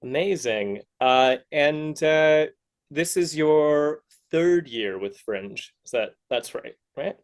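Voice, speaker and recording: A man hosting a casual interview podcast, speaking haltingly and circling back to rephrase his questions. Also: fairly high pitch, lively intonation. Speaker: podcast host interviewing artists.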